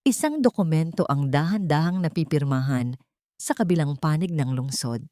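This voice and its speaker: Low-voiced woman narrating a dramatic story. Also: warm tone, no room sound, studio quality. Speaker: audiobook narrator.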